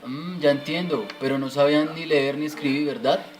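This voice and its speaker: A man's voice: a little high, fairly even intonation, normal speed, faint music behind, close to an everyday voice. A man playing a reluctant, sceptical young son who questions things in an amateur radio drama. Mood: puzzled, curious.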